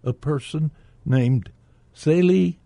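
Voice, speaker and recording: Radio guest: gravelly, husky man, dry close mic. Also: fairly high pitch, slow, warm tone, no echo. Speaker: guest, bank director. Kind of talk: radio interview.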